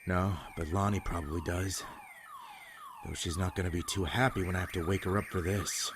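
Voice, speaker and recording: Low deadpan voice, someone acting a grumpy sarcastic addict in a zombie audio drama, bit of background noise. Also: normal speed, close to an everyday voice. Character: short, sardonic, irritable drug addict in zombie apocalypse.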